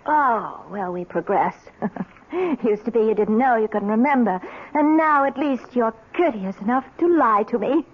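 A woman's voice: a little high, animated, unhurried. A woman playing a suspicious, bitterly sarcastic middle-aged wife in a 1970s radio drama, with arch, pointed phrasing. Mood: bitter sarcasm. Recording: muffled vintage radio with faint noise.

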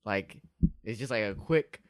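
A young man co-hosts a podcast in a casual, meandering way. His voice sits a little low and his sentences are full of fillers. There is hardly any room sound around him. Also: unhurried, dry recording. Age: young adult. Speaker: podcast co-host. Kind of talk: casual chat.

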